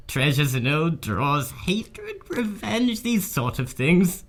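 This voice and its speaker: A man playing a cheerful, polite, bookish young gentleman in a radio drama, courteous and genial. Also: on the high side, very animated, slow, voice pushed up, full character voice. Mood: genial, eager.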